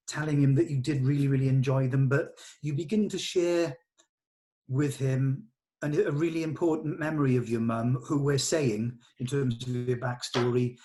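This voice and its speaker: A man's voice: medium pitch, a little mellow. A man directing a read-through, giving actors encouraging notes in conversation and often rephrasing as he goes.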